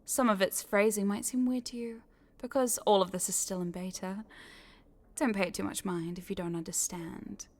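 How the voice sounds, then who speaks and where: A woman's voice: mid-range voice, steady tone, moderate pace, close to an everyday voice. A woman voicing a scheming, smugly controlling corporate boss in a scripted audio roleplay, coaxing the listener in a condescending, teasing, businesslike way. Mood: persuasive, controlling. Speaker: voice actor.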